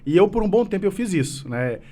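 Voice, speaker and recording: A man in his late thirties with a mineiro Brazilian Portuguese accent that has softened over the years. His voice sits fairly high for a man. He talks earnestly and at length, restarting phrases and leaning on fillers as he answers. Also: big pitch swings, fast. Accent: mineiro Brazilian Portuguese, fading. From Minas Gerais, Brazil. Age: 30s. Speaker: business association president, guest. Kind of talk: casual interview conversation.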